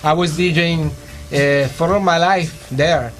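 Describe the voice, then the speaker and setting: Higher-pitched man chatting. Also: unhurried. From Italy, grew up in Ibiza. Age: 40s. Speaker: DJ and producer, show guest. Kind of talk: casual chat.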